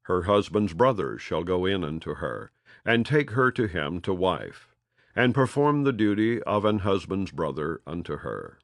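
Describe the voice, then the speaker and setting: A man reading scripture aloud in a measured, solemn cadence, letting phrases fall at their ends. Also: sits low, animated, no room sound, studio quality. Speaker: audio Bible reader.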